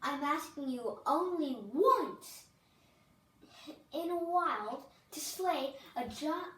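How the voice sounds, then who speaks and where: A nagging storybook princess, played by a child, high-voiced and whiny, scolds with exasperated emphasis. She drags out her complaints slowly, in an echoey room. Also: expressive, sharp tone, exaggerated voice. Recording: distant mic, roomy echo, faint noise.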